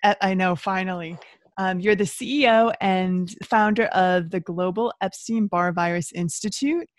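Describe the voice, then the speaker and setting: A woman's voice: mid-range voice, moderate pace, dry recording. A woman hosting a podcast interview, chatty and enthusiastic, with frequent fillers. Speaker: podcast host.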